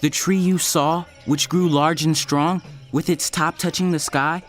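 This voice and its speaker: A man's voice: fairly high pitch, fairly even intonation, measured pace, close to an everyday voice. An actor playing an earnest Hebrew prophet counselling a king in a dramatized audio Bible, speaking in a solemn, pleading, declamatory way. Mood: solemn, urgent. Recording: loud music behind the voice.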